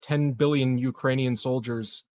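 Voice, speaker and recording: A man's voice: medium pitch, fairly even intonation, a little slow, darkish tone, no room sound, studio quality. A man chatting casually as a player in a tabletop game, speculating and arguing his points.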